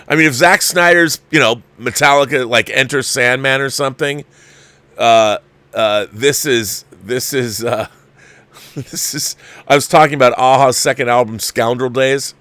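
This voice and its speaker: A man's voice: medium pitch, unhurried, bright, forceful tone, clean tone, no room sound. A man hosting a livestream, giving casual film commentary and answering viewers, repeating phrases for emphasis and often restarting his sentences. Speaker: livestream host reviewing a film.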